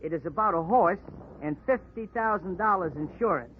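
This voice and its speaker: An actor playing a cocky, touchy little ex-jockey, talking in puffed-up, mock-formal slang in a 1940s radio drama. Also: male voice, sits high, expressive, normal speed. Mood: businesslike, confiding. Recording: tinny, faintly noisy old radio recording.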